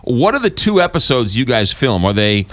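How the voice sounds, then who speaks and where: A man's voice: medium pitch, big pitch swings, moderate pace, a bit bright, dry recording. American guy radio host, casual questions, mid voice, really dry studio mic. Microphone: close studio microphone.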